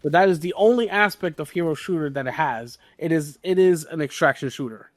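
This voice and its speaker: A man's voice: a little high, a little fast, no room sound, studio quality. A man co-hosting a casual gaming podcast, talking emphatically and repeating his points for stress. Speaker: podcast co-host.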